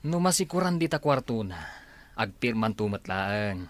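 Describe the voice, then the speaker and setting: A man playing a gruff accomplice in a scheming plot in an audio drama. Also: fairly low pitch, very animated, moderate pace, no room sound. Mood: suspicious.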